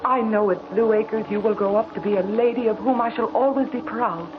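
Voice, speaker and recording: A woman playing a tender, sorrowful mother reading out a farewell letter in a measured, formal way on old radio. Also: on the high side, steady tone, natural voice. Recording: muffled old radio recording with music.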